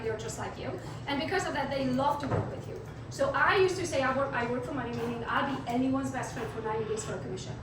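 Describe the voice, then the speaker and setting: Romanian-born woman, high voice, energetic trainer quizzing a room, recorded from far off in a very echoey hall with steady background noise. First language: not English. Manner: energetic, asks the audience questions. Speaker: real estate sales trainer. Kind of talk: live training talk to a room. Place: meeting room with a live audience.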